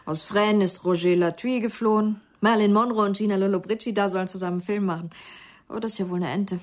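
An actress playing a private detective's wry, brisk secretary in a radio play, dry and matter-of-fact. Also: female voice, mid-range voice, fairly even intonation, a little mellow, natural voice.

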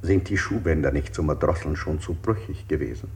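An actor playing an unsettling interrogator in a German radio play, putting insistent, probing questions. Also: male voice, extremely low pitch, brisk, gravelly, darkish tone. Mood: probing, menacing. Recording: hissy 1960s radio play recording.

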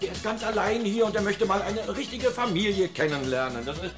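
An actor playing an obliging middle-aged tailor in a German radio play, chatty, eager to please and flattering. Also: male voice, high voice, a little fast, music in the background, natural voice. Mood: excited, cheery.

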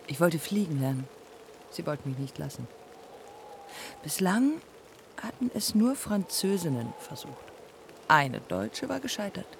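An actress playing a proud, embittered dead woman aviator in a poetic radio play, speaking in terse, measured fragments. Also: sits low, creaky, slight background noise, exaggerated voice. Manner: measured, terse, fragmented phrasing with deliberate pauses.

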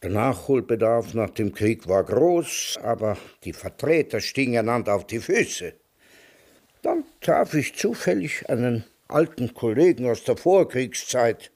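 An actor playing a sardonic, world-weary old emigrant in a German radio drama, dry and deliberate in manner. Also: male voice, mid-range voice, animated, darkish tone. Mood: matter-of-fact reminiscing. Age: older.

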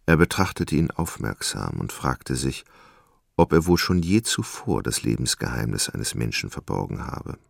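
Casting: male literary narrator, gravelly, dry close studio sound, dramatic measured delivery. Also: extremely low pitch, big pitch swings, no echo.

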